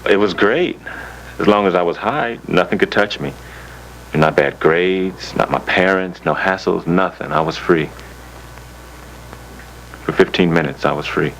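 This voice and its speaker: Wistful ex-user, low voice, short halting phrases, faint film noise. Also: male voice, creaky, fairly bright tone, heavily acted. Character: wistful young male former drug user. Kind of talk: dramatised testimony in an educational film. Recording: faintly noisy old film soundtrack.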